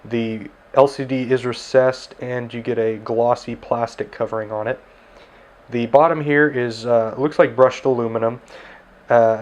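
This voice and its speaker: A man giving a casual, easygoing review of a handheld radio at his desk, with plenty of filler words. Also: mid-range voice. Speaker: tech reviewer, ham radio operator. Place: indoors at a desk.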